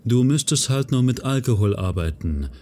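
A man's voice: medium pitch, expressive, moderate pace, a little mellow, slight background noise, natural voice. A man playing an affable, easygoing small-time hustler in a fan audio drama. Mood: friendly.

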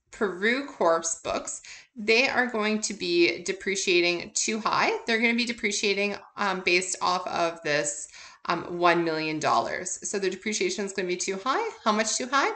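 A woman's voice: mid-range voice, sharp tone. A woman instructor giving an informal recorded lecture, working through examples in a conversational, explanatory way and answering her own questions. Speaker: accounting instructor.

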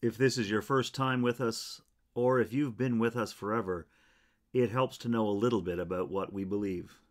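A man, a church minister, reading a scripted statement of welcome and belief in measured, deliberate phrases. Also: fairly low pitch.

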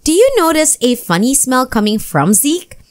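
A woman voicing a curious, excitable little boy in a storybook, exclaiming and asking questions. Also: sits high, normal speed, voice pushed up. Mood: puzzled, curious.

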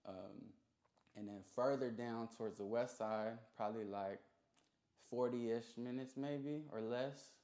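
A man's voice: mid-range voice, steady tone, unhurried, dark tone. A young man chatting casually as an interview guest, in slangy, conversational talk with frequent check-in phrases. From Hawaii. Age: young adult. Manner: slangy, peppered with "you know what I mean". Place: small recording studio room. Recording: roomy, echoing sound.

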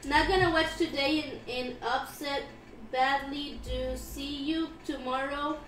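A woman's voice: high voice, fairly even intonation, unhurried, fairly bright tone, a little hiss or hum. A giggly woman co-hosting a casual live stream, reading out and answering viewer comments, recorded in a small room. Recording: roomy, distant mic. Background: faint music.

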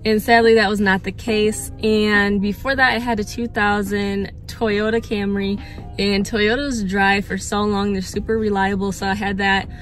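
A young woman talking straight to her phone from the driver's seat of a parked car. She rambles in an earnest, chatty way, with plenty of filler words and repeated phrases. Her pitch sits in the middle. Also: flat delivery, moderate pace, soft background music. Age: 20s. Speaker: vlogger. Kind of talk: casual chat to camera. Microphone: phone held at arm's length or mounted on dashboard.

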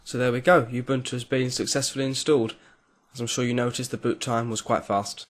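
A man giving a clear step-by-step voice-over for a software tutorial. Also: mid-range voice, little pitch movement, fairly quick, a little hiss or hum. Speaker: tutorial creator. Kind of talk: screen-recorded software tutorial.

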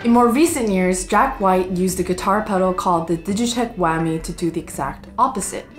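A woman's voice: medium pitch, fairly quick, soft background music. A young American woman hosting with scripted educational narration. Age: young adult. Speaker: host. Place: wood-panelled room indoors.

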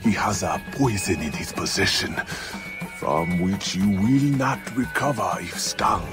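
A man voicing a grave, solemn mystic in a video game. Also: sits low.

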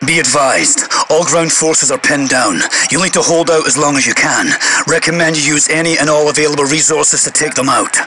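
An actor playing a stern British major general in a video game, giving clipped, commanding orders through a radio filter. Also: male voice, fairly high pitch, normal speed, over music. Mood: grave, commanding. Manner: clipped, commanding, formal military brevity. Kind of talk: video game voice-over, military radio announcements. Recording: radio crackle and heavy noise.

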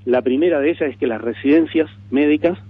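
A man with a Uruguayan accent explaining proposals in a radio interview, deliberate and repeating words for emphasis. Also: a little high, breathy edge. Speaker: political interviewee. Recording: narrow tinny AM radio stream.

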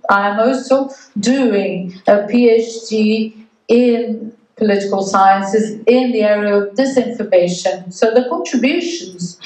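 Slow, measured woman interpreting in an echoey hall. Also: mid-range voice. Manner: measured and careful, pausing between phrases. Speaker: interpreter voicing a remote speaker. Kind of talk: first-person interpretation of a talk. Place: remote feed played into a lecture room. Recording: video-call audio heard far off in an echoey room.

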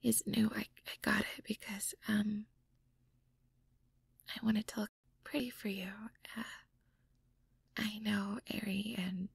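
Woman acting a timid, creaky-voiced shy young girlfriend. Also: medium pitch, little pitch movement, slow, long pauses, no room sound, heavily acted. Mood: bashful, insecure. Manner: hesitant, timid, stammering. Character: shy, insecure, apologetic young woman earth mage, sweet girlfriend. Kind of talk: scripted audio roleplay.

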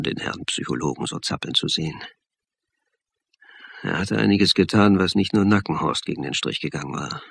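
A man's voice: low voice, vocal fry, a bit bright, husky, dry recording, heavily acted. An actor playing a wry, reflective police investigator who narrates his case in a dry, sardonic way for a crime radio play.

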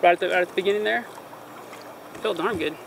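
A man's voice: high voice, creaky. A man filming his own kayak trip, chatting casually and chuckling with fellow paddlers as he paddles down a river. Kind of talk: casual on-the-water commentary. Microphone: action camera on the kayak bow. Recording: loud rushing water and wind noise. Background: rushing river water.

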